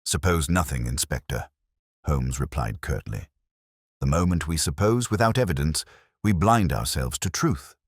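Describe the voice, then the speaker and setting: Male reader as keen master detective: very deep, crisp, imperious, precise, dry studio sound. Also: lively intonation, moderate pace, dry recording, heavily acted. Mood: curt. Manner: formal, precise, emphatic, lecturing. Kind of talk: audiobook detective story dialogue.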